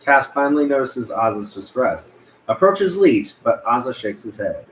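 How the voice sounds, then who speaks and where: A man's voice: medium pitch, very animated, normal speed. A man reading stage directions as scripted narration at a film table read. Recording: roomy, echoey with room noise.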